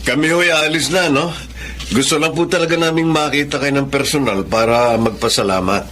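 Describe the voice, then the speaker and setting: Lalaking gumaganap bilang magalang na bisitang Pilipino. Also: male voice, medium pitch, fairly bright tone, slight background noise, lightly acted. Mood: polite, grateful. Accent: Filipino. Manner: courteous, measured. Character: polite, grateful gentleman visitor. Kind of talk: Tagalog radio drama acting.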